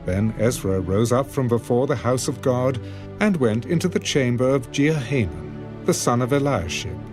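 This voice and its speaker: A man's voice: medium pitch, lively intonation, moderate pace, a little mellow. A man narrating a dramatised scripture audiobook as a scripted reading. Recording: music behind the voice.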